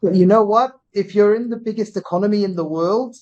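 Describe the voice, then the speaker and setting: A man, a company CEO, answering interview questions in a thoughtful, halting way and often restarting his phrases. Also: high voice, a little mellow, dull audio. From Britain. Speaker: company CEO, podcast guest.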